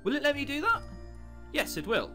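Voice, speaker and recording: A man's voice: very high-pitched, wildly swooping pitch, a little fast. A man doing casual, sarcastic, mildly sweary commentary as he plays and reads out a visual novel, with game music behind him. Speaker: let's player. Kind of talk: casual joking gameplay commentary, reading visual novel text aloud.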